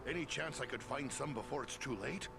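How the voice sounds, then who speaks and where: An actor playing a gruff, practical wandering adventurer in a fantasy game, plain-spoken and direct. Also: male voice, mid-range voice, a little fast, over music, natural voice. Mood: practical, pressing. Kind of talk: dramatic fantasy game acting.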